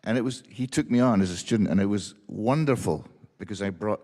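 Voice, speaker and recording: A man with a low voice answers from the panel with keen enthusiasm. He tells his stories eagerly. The sound trails off in a hall with a long reverb. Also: brisk, darkish tone. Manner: enthusiastic storyteller. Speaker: panelist, performer and teacher. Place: symposium hall.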